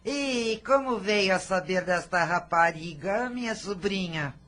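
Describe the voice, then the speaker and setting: A woman playing a bossy, spiteful, miserly aunt in a radio drama, with a sharp, scolding and demanding manner. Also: mid-range voice, animated, a little slow. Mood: suspicious, irritated.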